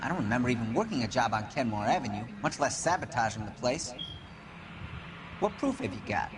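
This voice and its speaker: Defensive, animated working man acted by a man. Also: medium pitch, brisk, noisy background, close to an everyday voice. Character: defensive, bitter 1940s working-class male gas fitter. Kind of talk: dramatic video game acting.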